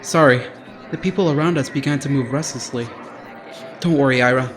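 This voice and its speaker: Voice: mid-range voice, brisk, natural voice. A performer voicing an earnest, protective teenage idol boy with a formal way of talking, in an anime fandub.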